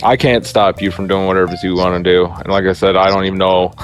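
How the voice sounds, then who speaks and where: A man's voice: low-pitched, little pitch movement, brisk. A man talking casually as a player in an online tabletop role-playing game, describing and voicing his character's choices. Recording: faint music, online group call.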